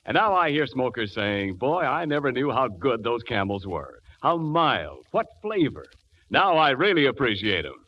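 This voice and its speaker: A man announcing in 1940s radio advertising style, with emphatic phrasing, on an old muffled recording. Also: medium pitch.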